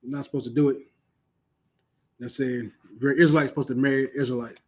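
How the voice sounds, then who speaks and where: Husky-voiced man teaching a Bible class. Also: mid-range voice, steady tone, very fast, a little mellow. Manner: conversational, keeps asking "you know what I'm saying"; emphatic, repeats points for stress. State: starting to lose his voice. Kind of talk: informal online Bible lesson.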